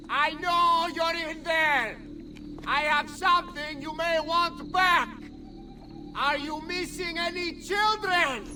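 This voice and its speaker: An actor playing a taunting, mock-courteous mercenary villain in a video game. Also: male voice, extremely high pitch. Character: gloating villain mercenary nobleman, shouting threats. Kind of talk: video game cutscene acting.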